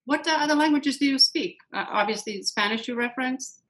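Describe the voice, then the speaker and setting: A woman's voice: sits high, fairly quick, fairly bright tone. A woman interviewer whose first language is Latvian, asking questions and offering short supportive responses. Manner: brief encouraging interjections, supportive. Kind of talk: conversational interview.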